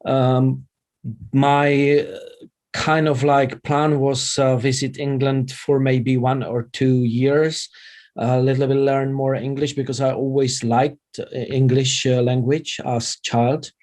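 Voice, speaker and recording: Mellow middle-aged man with a Czech accent. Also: mid-range voice, level tone. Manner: halting, non-native English with frequent broken phrasing. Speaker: interview guest, vegan chef and former radio presenter. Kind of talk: casual interview chat.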